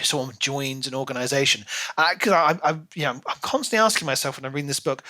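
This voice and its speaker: A man co-hosting a podcast in a casual book discussion, speaking in an animated, emphatic, opinionated way. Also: medium pitch. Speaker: podcast co-host.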